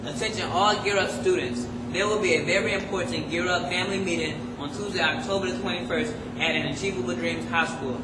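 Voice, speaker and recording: A man's voice: high voice, fairly even intonation, a little fast, few pauses. Teen boy anchoring school news. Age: teens. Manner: reads announcements with small stumbles. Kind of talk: school announcements. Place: school room at a desk. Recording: echoey room from far off with loud noise.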